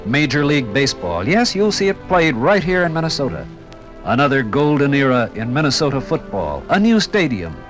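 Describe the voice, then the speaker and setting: Male narrator, 1950s radio documentary, lyrical, dramatic phrasing, very varied pitch, music underneath. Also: sing-song, fairly quick.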